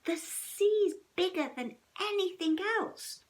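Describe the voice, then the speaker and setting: A woman voicing a curious, excitable young bear cub, a little boy with a squeaky, eager storybook voice. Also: slow, exaggerated voice. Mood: proud, excited.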